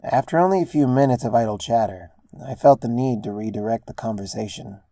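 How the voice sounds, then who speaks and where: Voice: medium pitch, fairly quick, mellow, dry recording, clean studio sound. A narrator reading a first-person story as scripted narration. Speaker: fan fiction narrator.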